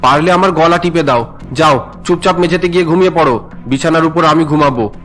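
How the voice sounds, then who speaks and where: A man's voice: a little high, a little fast, fairly bright tone, faint music behind, close to an everyday voice. An actor voicing a resentful young man, an unwilling groom in a cartoon, whiny and indignant. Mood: irritated.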